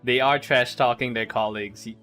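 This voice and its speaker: A man livestreaming a game with casual, halting commentary, thinking aloud and repeating words. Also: medium pitch, steady tone, pushed and bright, faint music behind.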